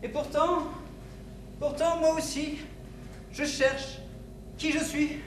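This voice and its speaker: Man as a forlorn high-voiced shepherdess, echoey. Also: flat delivery, slow pace. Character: odd-looking lost female shepherd outcast, searching for herself. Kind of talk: improvised stage comedy. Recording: distant mic in echoey noisy hall.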